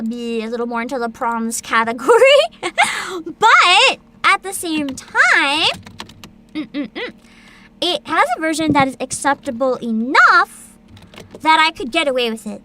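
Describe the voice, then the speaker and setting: A woman's voice: high-pitched, bright, forceful tone, slight background noise. A woman hosting a livestream, chatting informally to her viewers and giving her opinions, often humming between thoughts. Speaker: livestream host.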